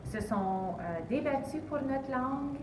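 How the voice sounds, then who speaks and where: Interviewee, middle-aged woman, Canadian French accent, slow and hesitant, distant echoey recording. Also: high voice, expressive. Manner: earnest, hesitant with many fillers. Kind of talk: vox-pop testimony. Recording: distant, roomy, noisy recording.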